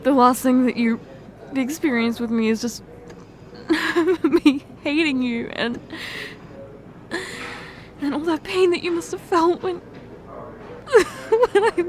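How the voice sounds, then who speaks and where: Very high-voiced tearful woman. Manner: halting, repeats words, breaks off. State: tearful, crying. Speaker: ASMR roleplay creator. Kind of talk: emotional ASMR roleplay confession.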